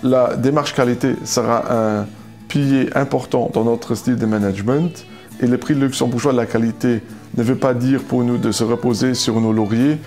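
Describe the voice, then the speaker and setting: A man's voice: mid-range voice, fairly even intonation, normal speed, a little mellow. A man speaking as a manager in a corporate presentation, formal in manner, with guitar music behind him. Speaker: hospital manager.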